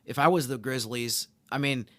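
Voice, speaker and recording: Man, mid-range pitch, sports podcast co-host, casual basketball talk, explanatory, very dry recording. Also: moderate pace, pushed and bright, no echo.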